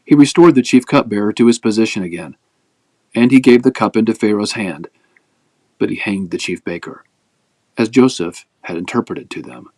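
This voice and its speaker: A man reading scripture aloud as a narrative, with measured, expressive phrasing, as host of a daily reading. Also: fairly low pitch, dry recording.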